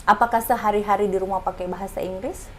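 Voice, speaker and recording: A woman's voice: mid-range voice, fairly even intonation, quick pace, a bit bright. A woman hosting a podcast interview, using casual local speech and short affirming replies. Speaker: podcast host.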